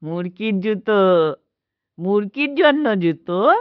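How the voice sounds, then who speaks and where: A woman playing a sentimental, stubborn old mother in a radio drama, halting and emotional as she repeats her phrases. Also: medium pitch, lively intonation, no echo, phone-quality sound. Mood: nostalgic, coaxing. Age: older. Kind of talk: Bengali radio drama acting.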